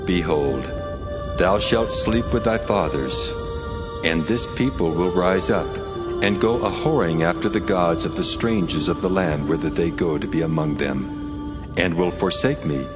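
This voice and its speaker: A stern, solemn God speaks in a very deep voice. The delivery is grave, deliberate and commanding, as in a scripture drama, with music clearly playing beneath. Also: male voice. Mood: stern, wrathful. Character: God, solemn stern male deity pronouncing judgment. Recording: music under the voice.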